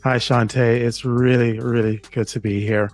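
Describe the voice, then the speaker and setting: Middle-aged guy from jamaica, excited storyteller, dry close sound. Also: mid-range voice, normal speed, dry recording, soft background music. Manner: enthusiastic storyteller, some restarts, laughs. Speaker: author and podcaster guest. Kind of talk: casual interview chat.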